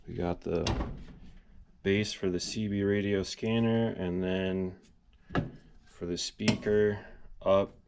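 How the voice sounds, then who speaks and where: A low-voiced man talks through a hands-on project in a casual, plainspoken way. He explains things step by step, with some noise behind him. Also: steady tone, takes it slowly, long pauses. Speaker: DIY car vlog host. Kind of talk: casual project walkthrough with voice-over.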